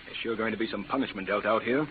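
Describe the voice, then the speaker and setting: An actor playing a stern, proud frontier rancher in an old radio western, speaking formally and earnestly. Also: male voice, fairly low pitch, steady tone, quick pace, close to an everyday voice. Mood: angry. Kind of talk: 1940s-50s radio western acting. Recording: muffled, noisy vintage radio recording.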